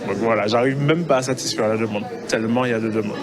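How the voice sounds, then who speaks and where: Casual young entrepreneur at a crowded event. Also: male voice, medium pitch, fast, crowd noise. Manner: casual, chatty.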